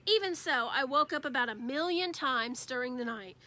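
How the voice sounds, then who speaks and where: A woman reading a children's book aloud as an expressive storyteller. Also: high voice, moderate pace.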